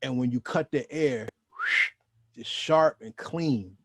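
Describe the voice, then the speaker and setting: A man hosting a hip-hop interview, talking in an emphatic, reflective way with drawn-out phrases. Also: mid-range voice, noisy background. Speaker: interviewer host. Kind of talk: interview questions and commentary.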